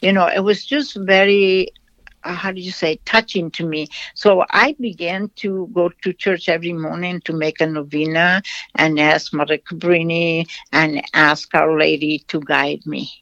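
A woman from Brazil with a Brazilian accent, a nun answering interview questions with stories, in a halting way that repeats phrases. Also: lowish voice, steady tone, fairly bright tone, no echo. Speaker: nun, shrine representative. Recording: dull lo-fi sound, dry.

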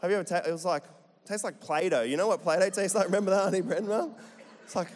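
Australian pastor preaching in a reverberant hall. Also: male voice, sits high, fast talker. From Central Queensland, Australia. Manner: chatty, jokey, asks the crowd questions. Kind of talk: sermon to a live congregation. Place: church hall.

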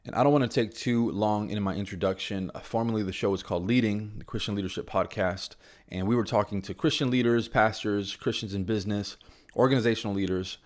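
Guy hosting a podcast, kinda low voice, casual chatty delivery, informal buddy talk. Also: fast talker. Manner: informal, peppers speech with "bro". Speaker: podcast host.

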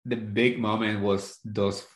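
A man's voice: on the low side, flat delivery, takes it slowly. A man co-hosting a casual, conversational recap chat about television. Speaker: podcast co-host. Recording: roomy, echoing voice recording.

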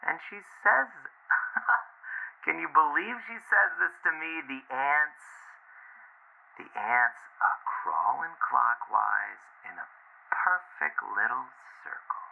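An actor playing an agitated boyfriend coming undone, rambling and swearing in halting speech over the phone in an audio drama. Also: male voice, medium pitch, lively intonation, vocal fry, a little hiss or hum, full character voice. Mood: incredulous. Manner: rambling, profane, halting with bitter laughs. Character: agitated, unravelling boyfriend ranting about his partner's obsession. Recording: tinny, narrow phone line.

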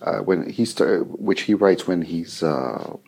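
A man's voice: low-pitched, measured pace, creaky, darkish tone. A middle-aged man whose first language is French, answering interview questions in English about his work as an interpreter, hesitating often with fillers and restarts. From France, raised in Israel from age seven.

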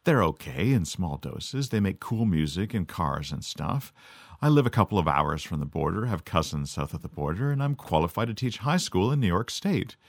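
A man's voice: deep voice, sing-song, normal speed, no echo. A middle-aged man from Canada delivering a sardonic, scripted monologue as podcast host. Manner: sardonic, dry.

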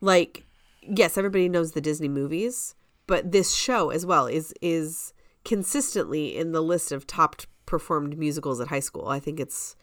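A woman's voice: low-pitched, normal speed, no echo, studio quality. A woman co-hosting a podcast in casual conversation, sharing opinions in an informal, fannish way. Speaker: podcast co-host. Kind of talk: casual chat about musical theatre.

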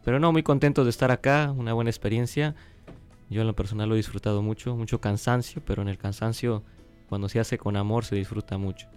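A man's voice: lowish voice, steady tone, fast talker, darkish tone, faint music behind. A man telling a chatty anecdote as part of a missionary testimony. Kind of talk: storytelling testimony.

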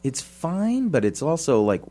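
Scratchy-voiced man with a cold, lively. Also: on the high side, unhurried, faint music behind. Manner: jokey, lively reactions. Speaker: priest and podcast host. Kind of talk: casual podcast chat.

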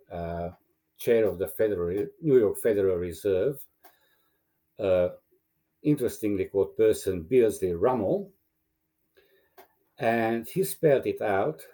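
Deep mellow-voiced man, a Hungarian speaker. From Romania. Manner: thoughtful, hesitant with fillers. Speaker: guest. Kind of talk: casual interview.

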